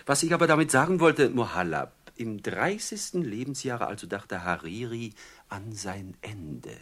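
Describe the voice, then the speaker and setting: An actor playing a falsely courteous, menacing robber chief who quotes poetry in an unctuous, theatrically drawn-out way, in a classic German radio drama. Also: male voice, lowish voice, big pitch swings, fairly bright tone, breathy edge, full character voice. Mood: slyly didactic. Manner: unctuous, mock-polite, theatrically drawn-out phrasing.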